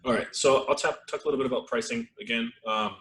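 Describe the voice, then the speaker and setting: A man hosting a live webinar in casual, rambling talk with lots of fillers, his voice a little strained and throaty as he clears his throat. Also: mid-range voice, quick pace. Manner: relaxed, rambling, many fillers and restarts. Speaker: webinar host. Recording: echoey room with faint noise.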